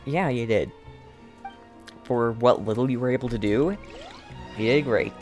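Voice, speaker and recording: A woman streamer with a strikingly low voice, chatting and teasing as she reacts to a story game. Her delivery is casual and playful. Faint game music runs underneath. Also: unhurried, a little mellow.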